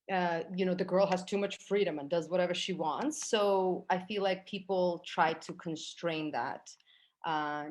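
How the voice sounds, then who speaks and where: Woman podcast guest, lower voice, halting, keeps restarting her sentences. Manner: halting, many restarts and fillers. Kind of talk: casual podcast discussion.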